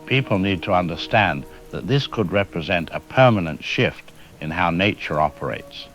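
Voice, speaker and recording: A man playing a serious, measured scientist explaining things as a radio interview guest in an audio drama. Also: deep voice, lively intonation, fairly bright tone, faint music behind. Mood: measured, warning.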